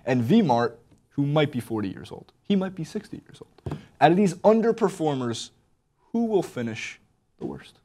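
A man's voice: on the high side, big pitch swings, moderate pace, warm tone. A young American man hosting a sports panel chat from a studio desk on a lapel mic. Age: young adult. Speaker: sports show host.

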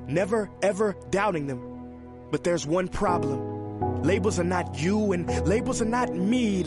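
Slow deliberate spoken-word man. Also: high voice. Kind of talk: scripted spoken-word monologue.

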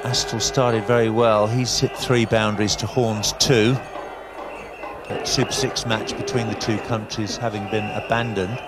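A man giving live television cricket commentary in a measured, factual way with pauses. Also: lowish voice, little pitch movement. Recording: loud crowd noise, music, archive broadcast. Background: loud crowd and music.

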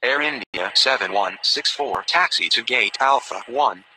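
A man's voice: mid-range voice, lively intonation, unhurried. A man giving formal, clipped air traffic radio callouts in a scripted style. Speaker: air traffic radio voices in a simulation game.

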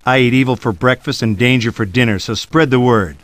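An actor playing a cocky, sarcastic action hero in a video game cutscene, brash and swaggering. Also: male voice, medium pitch, a little fast, dry recording, lightly acted. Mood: taunting. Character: cocky, wisecracking one-handed male action hero.

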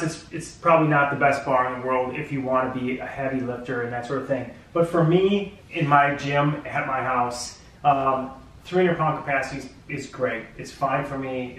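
A man's voice: mid-range voice, fairly bright tone. Rambling man in a very echoey room. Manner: rambling, many fillers and restarts. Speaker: product reviewer. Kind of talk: casual product review. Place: home gym room indoors. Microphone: camera mic from a few feet.

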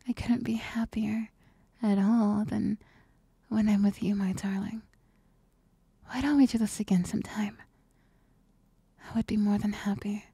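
Breathy, whispering young girlfriend played by a woman. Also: mid-range voice, dark tone, no echo, exaggerated voice. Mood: loving. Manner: slow, tender, intimate. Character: affectionate, doting young girlfriend. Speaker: ASMR performer. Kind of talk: ASMR girlfriend roleplay, soft whispering. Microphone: close-up ASMR microphone.

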